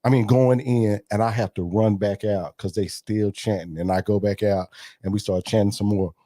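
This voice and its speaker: Man, forties, low pitch, mellow tone, dry recording, animated storyteller. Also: moderate pace, no echo. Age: 40s. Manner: animated storyteller, acts out quotes. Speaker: gospel artist guest. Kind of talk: casual interview storytelling.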